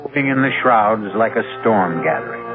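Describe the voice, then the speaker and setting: A man performing as an ominous storyteller host, giving measured, dramatic scripted radio narration. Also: on the low side, animated, natural voice. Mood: ominous, grave. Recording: tinny old radio broadcast recording. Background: music.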